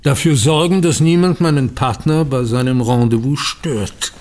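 Actor as theatrical, slyly vengeful banker. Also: male voice, medium pitch, a little mellow, natural voice. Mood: mock innocent. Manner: deliberate, emphatic, sardonic. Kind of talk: German audio drama acting.

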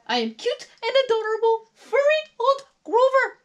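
A woman's voice: very animated, moderate pace, dull audio. A woman voicing a cute, furry male monster from a children's storybook, sweet, eager and pleased with himself. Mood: eager, playful. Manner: bubbly, very high-pitched.

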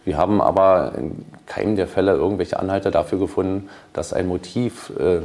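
A man's voice: deep voice, brisk, a little mellow. A man giving an interview as a police investigator, in formal official wording with some hesitation. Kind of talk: interview statement. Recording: faint ambient background noise.

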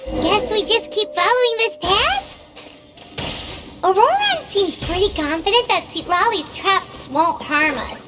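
A woman voicing a tiny, nosy, excitable childlike fairy companion in a video game, exclaiming as she talks. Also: extremely high pitch, a little slow, over loud music, full character voice. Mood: questioning, wary. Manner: refers to self in third person, exclamatory.

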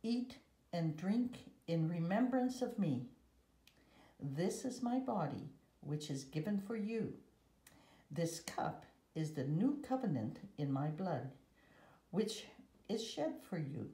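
A woman reading a children's Bible picture book aloud with measured, deliberate phrasing and pauses, recorded indoors. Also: fairly low pitch, big pitch swings, warm tone, roomy sound. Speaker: storybook reader.

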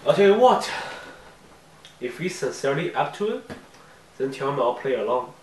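A man's voice: mid-range voice, very animated, moderate pace, a little hiss or hum, close to an everyday voice. A young man plays a young mob heir who talks in a dry, curt, offhand way. His voice sits in the middle range and sounds roomy and distant, picked up by a camera mic across a living room. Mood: cool. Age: young adult. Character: young male mob heir Hamlet, cool and defiant. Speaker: actor in an amateur mob drama.

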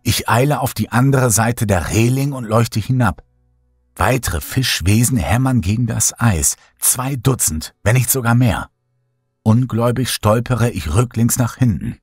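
A man reading a first-person horror story in a slow, hushed, brooding way. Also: mid-range voice, breathy edge, no echo, clean studio sound, soft background music. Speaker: audiobook narrator.

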